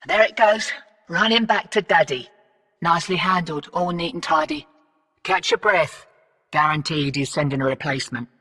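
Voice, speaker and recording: A woman's voice: deep voice, gravelly, pushed and bright, big-room sound, far from the mic, full character voice. An actress playing a brash, streetwise female smuggler and commander in a video game, with a cocky, blunt, slangy delivery. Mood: commanding, cocky. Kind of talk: video game character dialogue.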